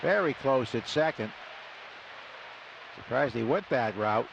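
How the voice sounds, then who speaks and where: A man's voice: medium pitch, lively intonation, a little slow, vocal fry, background noise. An American man calling baseball play-by-play live on a radio broadcast. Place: stadium broadcast booth. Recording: muffled radio broadcast, loud stadium ambience. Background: loud ballpark ambience.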